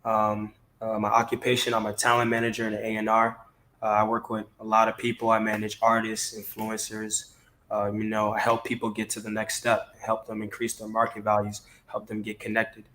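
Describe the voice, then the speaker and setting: A man's voice: lowish voice, monotone, a little fast, pushed and bright. A man from Maryland speaking casually as an interview guest, his talk peppered with "you know". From Maryland, DC area, United States. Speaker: interview guest, talent manager.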